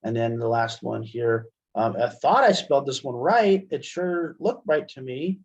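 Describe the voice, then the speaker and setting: A man giving a casual software demonstration as an instructor, conversational and thinking aloud as he goes. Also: medium pitch, lively intonation, unhurried. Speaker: instructor, webinar presenter. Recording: dull, band-limited webinar audio.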